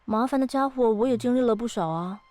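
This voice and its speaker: A woman's voice: a little high, fast, darkish tone, close to an everyday voice. A woman playing a mysterious ancient being of the lake in an audio drama, casual and teasing with a wistful side. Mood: nonchalant. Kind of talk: audio drama dialogue acting. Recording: studio voice over background music.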